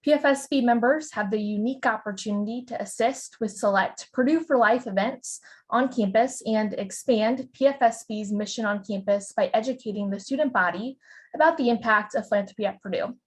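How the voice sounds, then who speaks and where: A woman's voice: a little high, fairly quick. A young woman from Indiana, a college senior and student board president, giving an upbeat, polished introduction over a video call. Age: young adult. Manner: upbeat, polished, lightly scripted. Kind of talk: prepared panel introduction.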